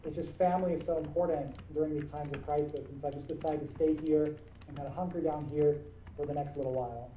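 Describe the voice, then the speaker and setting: A young man with an American accent telling his story as a podcast guest, animated and quick, often restarting sentences. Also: a little high. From half Czech, half British. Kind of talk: casual interview talk. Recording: muffled, roomy, distant with faint noise.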